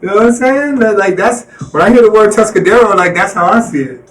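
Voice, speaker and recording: A man's voice: high-pitched, lively intonation, fast talker, fairly bright tone, distant mic, rough recording. A man hosting a live stream, chatting casually in slang and repeating "you know what I'm saying.". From Colorado.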